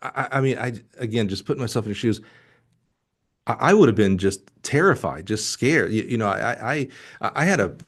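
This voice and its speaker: A middle-aged man from Alabama hosting a casual interview, talking in an effusive, halting way and often restarting his thoughts. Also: fairly low pitch, lively intonation. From Alabama, United States. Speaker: podcast host.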